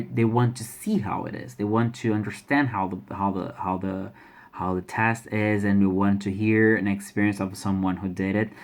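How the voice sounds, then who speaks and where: Young adult man, Brazilian accent, slightly low pitch; casual halting vlog delivery with frequent restarts. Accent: Brazilian Portuguese. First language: Portuguese. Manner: halting, many restarts and repeated words. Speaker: language learner sharing exam experience. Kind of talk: casual vlog talk to camera.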